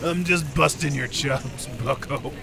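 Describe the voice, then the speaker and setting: A man's voice: a little high, a little slow, gravelly, sharp tone, exaggerated voice. An actor playing a jovial, teasing 1920s tough guy with slangy, drawling banter in a radio drama. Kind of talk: old-time radio acting.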